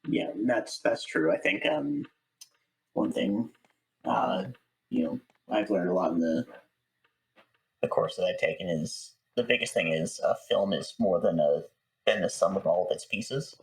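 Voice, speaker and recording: Low, gravelly man chatting thoughtfully. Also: lively intonation, a little slow. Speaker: interview guest, voice actor. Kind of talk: casual interview chat. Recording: slightly distant microphone.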